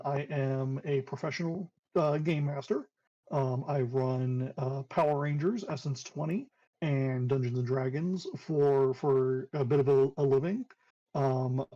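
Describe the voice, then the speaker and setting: A man introducing himself as a tabletop game player, speaking haltingly with restarts. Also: on the high side, gravelly, mellow, lo-fi sound. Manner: halting, stumbling restarts, chuckling. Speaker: player, professional game master. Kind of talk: casual self-introduction.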